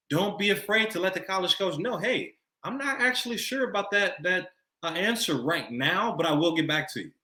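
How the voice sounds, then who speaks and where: An American man with a bright, forceful voice in a roomy, echoing space. He coaches the listener like an expert advisor, stressing key points and repeating them for emphasis. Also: on the high side. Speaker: college recruiting expert. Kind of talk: advice to camera for students.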